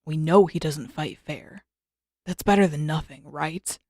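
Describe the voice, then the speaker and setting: A woman's voice: deep voice, unhurried, mellow, no room sound, voice pushed down. Woman as a dry, blunt teenage prince. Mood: wry.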